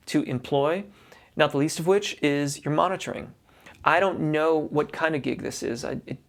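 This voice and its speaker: A man with a gravelly, mid-pitched voice talks through singing advice for a viewer. He speaks loosely and practically, breaking off and restarting sentences as he thinks. His pitch sits in the middle of the male range. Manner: reads a viewer's letter aloud, then gives practical advice with many restarts. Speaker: voice teacher answering a viewer question. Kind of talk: instructional talk to camera.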